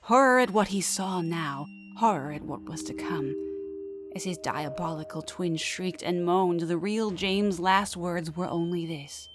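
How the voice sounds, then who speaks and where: A woman's voice: lowish voice, unhurried. A woman giving dramatic scripted narration of a horror story.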